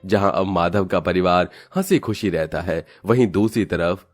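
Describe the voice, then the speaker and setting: Low-voiced man narrating a cartoon story. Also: normal speed, faint music behind.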